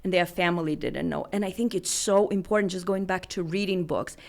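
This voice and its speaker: A woman's voice: on the low side, a little fast, creaky. A middle-aged woman who immigrated to America, hosting a podcast and asking earnest, searching questions with frequent restarts. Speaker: podcast host. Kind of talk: conversational interview.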